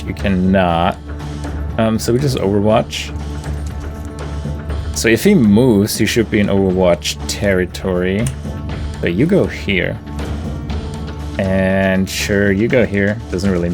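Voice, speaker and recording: A man's voice: fairly low pitch, takes it slowly, pauses often. Laid-back man narrating his gameplay. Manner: laid-back, chuckling, thinking aloud. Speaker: gameplay video host. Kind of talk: casual gameplay commentary. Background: game music.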